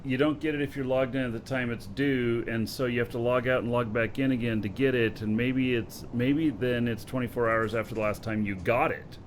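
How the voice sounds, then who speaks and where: A man's voice: mid-range voice, a little fast, few pauses, a little hiss or hum. Easygoing male stream host. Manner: relaxed, practical, offering suggestions. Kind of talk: casual livestream chat, reading viewer questions.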